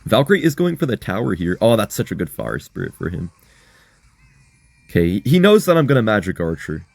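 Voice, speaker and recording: Hoarse young man narrating a game. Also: on the low side, fairly quick, no room sound. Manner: excitable, chatty, addresses viewers as guys. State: hoarse, losing his voice. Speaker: gaming content creator. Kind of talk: live gameplay commentary.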